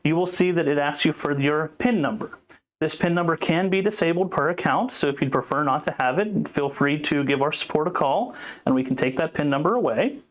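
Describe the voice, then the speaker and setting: Higher-pitched man presenting a tutorial. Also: brisk. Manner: plain, explanatory. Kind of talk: informal instructional walkthrough.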